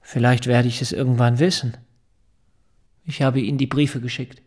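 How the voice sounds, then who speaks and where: An actor in a German radio drama playing an anxious, evasive, articulate father who speaks in a deliberate, formal, earnest way. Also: medium pitch, level tone, a little mellow, natural voice. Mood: defensive, hushed.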